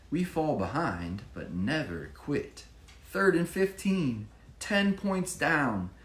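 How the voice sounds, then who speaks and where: A man reading his own children's picture book with expressive emphasis, pausing to explain it casually to a child. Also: mid-range voice. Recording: echoey room with faint background noise.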